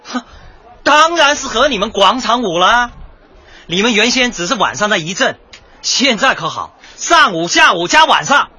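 An actor playing a cocky, argumentative young DJ with dyed hair in a light comedy radio drama. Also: male voice, sits high, sing-song, moderate pace, bright, forceful tone, husky, full character voice. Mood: aggrieved. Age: young adult. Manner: brash, glib, protesting, lecturing.

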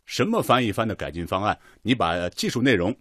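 An actor playing a measured, authoritative official in a radio drama, chairing a technical meeting with unhurried, probing questions. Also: male voice, on the low side, very animated, a bit bright, breathy edge, no room sound, full character voice.

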